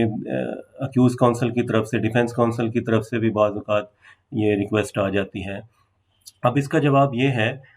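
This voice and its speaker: A man's voice: mid-range voice, a little mellow, poor audio. A man with a Pakistani accent giving an informal law lesson as a lecturer, explaining step by step and mixing in English legal terms.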